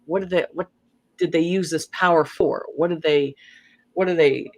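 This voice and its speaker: A guest talks loosely and at length in an easy, unscripted spiritual chat. The voice sits at an average pitch, and the speech rambles with frequent fillers and self-corrections. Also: fairly even intonation, normal speed. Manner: rambling, full of "you know" and restarts. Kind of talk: casual spiritual conversation.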